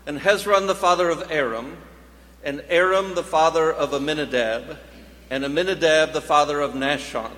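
A man's voice: fairly high pitch, moderate pace, a bit bright. A man reciting scripture as a reader in a dramatic church reading. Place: large church sanctuary. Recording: long church hall reverb.

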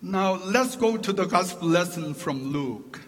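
A man with a Korean accent, whose first language is Korean, preaching a sermon as pastor in a slow, deliberate way, stressing his points through lists. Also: sits high, lively intonation, a bit bright. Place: church sanctuary. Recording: long reverb in a church sanctuary.

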